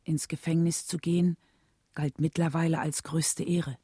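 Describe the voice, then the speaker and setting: An actress playing a thoughtful, steadfast widow recalling her husband in a German radio play, with a husky, breathy voice. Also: sits low, level tone, normal speed, warm tone, no room sound, clean studio sound. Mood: reflective. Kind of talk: German radio play acting, reflective first-person narration.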